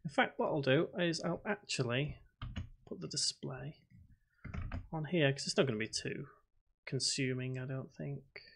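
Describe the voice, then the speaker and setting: A man's voice: mid-range voice, unhurried, creaky, long pauses, fairly bright tone, a little hiss or hum. A man hosting a casual live stream, thinking aloud as he codes and reading chat questions aloud. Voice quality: self-described boring voice. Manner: talks to himself while typing, reads chat aloud.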